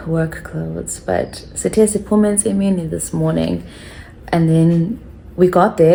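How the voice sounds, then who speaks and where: A young Xhosa woman from South Africa filming her day at home in a low voice, mixing English and isiXhosa over faint room noise. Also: creaky, mellow. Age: young adult. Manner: code-switches between English and isiXhosa. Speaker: vlogger. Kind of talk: casual personal vlog chat.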